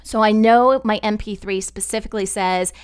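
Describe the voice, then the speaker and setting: A woman giving a conversational, step-by-step software tutorial in an explanatory style. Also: mid-range voice, fairly even intonation, moderate pace, clear, resonant tone. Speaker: podcast network instructor.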